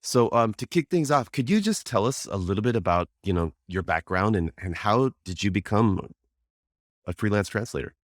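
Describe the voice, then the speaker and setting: An American man hosts an interview, friendly and enthusiastic, circling around his questions with many restarts. His voice sits very low. The recording is dry and close. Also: expressive, moderate pace, no echo. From United States. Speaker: podcast host, interviewer. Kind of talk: casual conversational interview.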